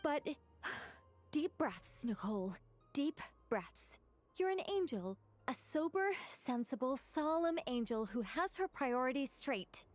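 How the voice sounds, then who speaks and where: A knowing female angel mage with a fairly high voice. She explains things in a chatty, slightly rambling way while aiming for solemn poise, in video game style over faint music. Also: animated, unhurried. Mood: flustered. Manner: explanatory, rambling, self-correcting.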